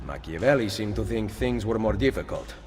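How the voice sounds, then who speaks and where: An actor with an Italian accent playing a composed, shrewd assassin leader in a video game cutscene. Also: male voice, on the low side, animated, brisk, darkish tone, slight background noise, lightly acted. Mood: dry, courteous.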